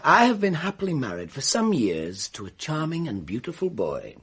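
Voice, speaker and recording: Bitter, theatrical man, husky-voiced. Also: fairly high pitch, wildly swooping pitch, normal speed, fairly bright tone, heavily acted. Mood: wounded, bitter. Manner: florid, precise, melodramatic delivery. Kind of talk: radio mystery drama acting.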